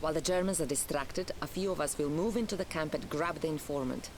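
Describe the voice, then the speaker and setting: A woman's voice: deep voice, lightly acted. Actress as a commanding Polish partisan woman amid battle noise. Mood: resolute, commanding. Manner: commanding, deliberate briefing of orders. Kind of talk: military game voice acting.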